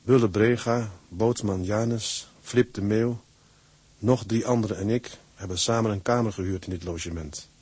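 Casting: fond seaman narrator, low husky voice, close and dry, dramatised letter. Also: normal speed, a little mellow, no echo. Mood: fond, storytelling. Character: affectionate seaman narrating his letter home to his wife.

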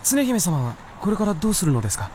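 A woman's voice: lowish voice, sing-song, quick pace, dark tone, slight background noise. A woman voicing an earnest, polite young male fox spirit in a Japanese period radio drama, sincere and deferential. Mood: earnest, uncertain.

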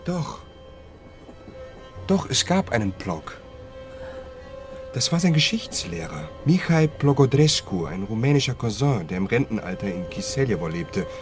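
A man's voice: mid-range voice, very animated, unhurried, pauses often. An actor playing an easygoing, merry young Serbian man with a drowsy, amused manner in a radio crime play. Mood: recalling. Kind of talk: German radio crime drama acting. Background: music.

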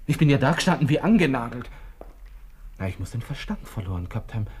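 An actor playing a proud, agitated Austrian army lieutenant, brooding to himself in a radio play, breaking into whispers and outbursts. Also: male voice, mid-range voice, big pitch swings, fast talker, darkish tone, slight background noise, close to an everyday voice. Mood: stunned, indignant. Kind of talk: interior monologue in a radio play.

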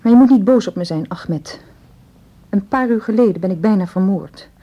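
An actress playing a frightened, insistent young English woman in a radio drama, speaking earnestly and deliberately. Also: mid-range voice, animated, close to an everyday voice. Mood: urgent, insistent. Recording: dull, lo-fi radio drama recording.

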